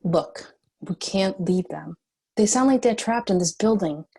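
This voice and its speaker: Woman as a husky, determined young heroine. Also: medium pitch, lively intonation, unhurried, darkish tone. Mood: urging. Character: determined young heroine, defiant, bossy and compassionate. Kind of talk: screenplay table read.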